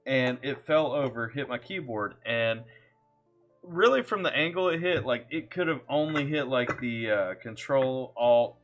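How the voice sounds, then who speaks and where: Bright-voiced Southern man streaming live. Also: mid-range voice. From Kentucky. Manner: laid-back, chatty, jokey, greets viewers by name. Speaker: music reaction streamer. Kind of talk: casual live-stream chat, reading chat aloud. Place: home computer setup.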